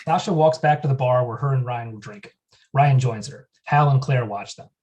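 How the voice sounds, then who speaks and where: A man reads out the scene headings and stage directions at a script table read. His delivery is plain and matter-of-fact, at an even middle pitch. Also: moderate pace. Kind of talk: reading stage directions aloud.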